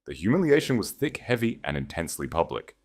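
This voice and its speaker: A man's voice: low voice, very animated, quick pace. A man narrating scripted fiction as a dramatic audiobook reader.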